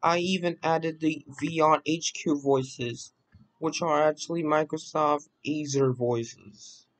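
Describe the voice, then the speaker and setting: Guy doing a casual screen recording walkthrough, talks slow and halting, kinda higher voice. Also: steady tone, poor audio. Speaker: presenter of a software voice-pack demo.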